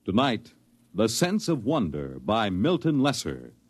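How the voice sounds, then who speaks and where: A man's voice: lowish voice, huge pitch swings, a little slow. A man grandly and theatrically introducing a 1950s radio drama series. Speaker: show announcer.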